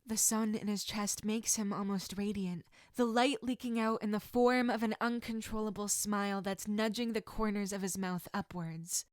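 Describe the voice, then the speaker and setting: A woman's voice: mid-range voice, fairly even intonation, moderate pace, hardly any pauses, no echo. Woman narrating a story, dry close sound. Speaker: narrator reading fan fiction.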